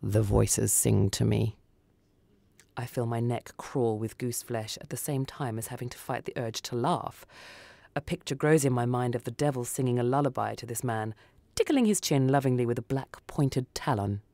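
A narrator tells a short story in the first person with a dramatic delivery. The voice sits at a middling pitch. The sound is very dry. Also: moderate pace, darkish tone, no echo. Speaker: story reader.

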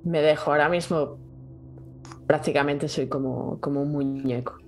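A woman player speaking her character's actions in a tabletop game, soft and earnest. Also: low-pitched, steady tone, normal speed, faint music behind. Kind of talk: in-character roleplay.

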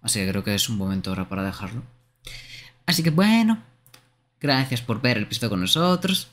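A man's voice: mid-range voice, big pitch swings, fairly quick, a bit bright. A man hosting a gaming livestream with casual, conversational chat. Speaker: streamer, host.

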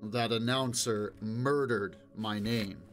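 A man's voice: on the low side, fairly even intonation, takes it slowly, fairly bright tone, faint music behind. A man doing a dry, wry visitor.

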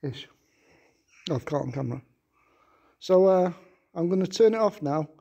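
Man, Manchester accent, ghost hunter, high voice, mellow tone, polite coaxing, stone castle room, camera mic. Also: lively intonation, moderate pace, creaky. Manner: polite, coaxing, repeats requests with please. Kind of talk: paranormal investigation calling out to spirits.

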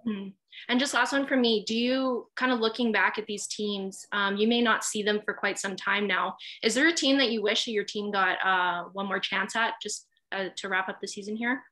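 A woman's voice: mid-range voice, normal speed, bright, forceful tone, low-quality recording. A woman reporter politely asking a coach postgame questions, hesitant with fillers. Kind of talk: press conference questions.

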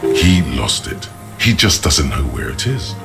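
An actor voicing the brooding inner voice of a detective's mind, narrating a video game in an ominous, deliberate way. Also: male voice, a bass voice, sing-song, vocal fry, over music, heavily acted. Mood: intent. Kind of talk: scripted second-person game narration.

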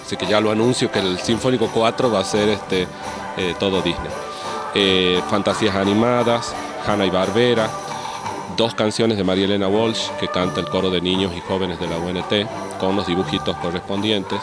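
An Argentine man, an orchestra conductor, answering an interview informally and explaining things with frequent pauses to search for words. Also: sits low, fairly even intonation. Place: theatre, on location. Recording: loud music behind the voice.

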